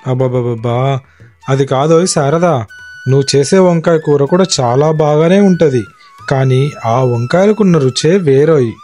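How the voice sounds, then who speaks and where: Man acting a mellow, wily court poet. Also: mid-range voice, normal speed, faint music behind, natural voice. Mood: cajoling, alarmed. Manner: colloquial, exclaiming, persuasive. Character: witty, wily adult male court poet, husband and father. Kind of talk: animated moral story acting.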